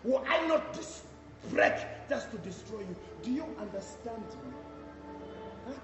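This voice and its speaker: High, lively-voiced tyrant headmistress, played by a man for an amateur stage play, sneering and threatening; recorded from far off in an echoey hall. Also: measured pace, over music, exaggerated voice. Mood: raging. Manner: booming threats, sneering insults. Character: tyrannical, bullying school headmistress.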